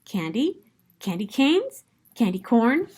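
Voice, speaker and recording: A woman with a fairly high voice narrates a picture book for children. She tells it expressively, with plenty of emphasis on key words. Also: very animated, takes it slowly, a little mellow, husky. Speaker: children's storybook reader.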